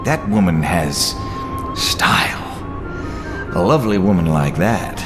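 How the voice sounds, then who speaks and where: A gleeful menacing clown villain, super slow n drawn out, pitch swoops everywhere, audio drama. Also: male voice, big pitch swings, music in the background. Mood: smitten. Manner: theatrical, drawn-out delivery with sudden swoops.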